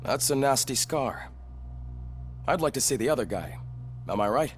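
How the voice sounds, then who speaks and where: A man's voice: mid-range voice, animated, normal speed, a little hiss or hum, natural voice. An actor playing an easygoing, jokey male technician in a video game, with casual chatty delivery.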